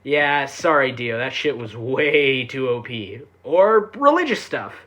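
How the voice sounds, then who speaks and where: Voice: medium pitch, big pitch swings, unhurried, a bit bright. A reviewer giving a casual, sarcastic recap, full of offhand asides and swearing. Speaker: anime reviewer.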